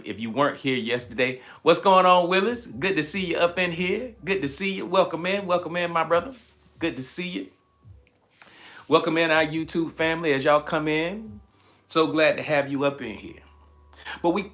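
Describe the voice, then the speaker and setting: A man with a bright, forceful voice that carries a slight tired rasp. He talks like a motivational livestream host, landing on key phrases and repeating them for emphasis. His pitch sits in an average male range. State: slightly raspy, tired voice from shouting the night before. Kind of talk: motivational livestream talk, preaching-like.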